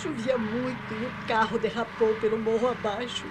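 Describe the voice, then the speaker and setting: A woman's voice: on the high side, level tone, normal speed, close to an everyday voice. An actress in a radio mystery play, voicing a desperate, pleading mother begging for help after a crash. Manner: pleading, distressed. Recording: noisy old AM radio drama, sizzling background.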